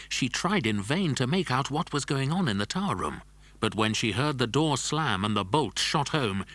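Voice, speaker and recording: A man's voice: mid-range voice, fairly bright tone, no echo. A man narrating a scripted children's adventure story.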